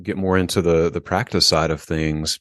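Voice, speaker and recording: A man's voice: low voice, level tone, moderate pace, a bit bright, dry recording, clean studio sound. A man hosting a podcast, friendly and affirming, chatting casually with his guest. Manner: friendly, affirming, lots of mm-hmms. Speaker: podcast host. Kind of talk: casual interview chat. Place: remote from Colorado.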